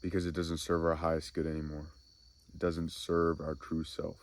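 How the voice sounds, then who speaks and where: A man hosting a soft-spoken spiritual ASMR talk, congested and nasal from a cold, musing slowly and reflectively. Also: monotone. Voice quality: deep, gravelly. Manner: slow, meandering reflective musing. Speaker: ASMR spiritual channel host.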